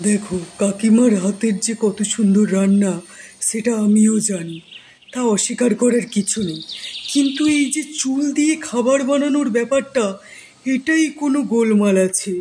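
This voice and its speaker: Man doing a nosy suspicious village guy in a cartoon, really high but soft-toned, insistent. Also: moderate pace, a little hiss or hum. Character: suspicious, inquisitive village man in a moral tale. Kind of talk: cartoon moral-story dialogue. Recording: echoey room sound with faint music.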